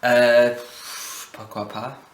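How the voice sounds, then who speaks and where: A man in his twenties from the United States, with an American accent, speaking halting French and searching for words. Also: medium pitch, level tone. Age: 20s. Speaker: interviewee. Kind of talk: casual interview answers. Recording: echoey room, faint noise.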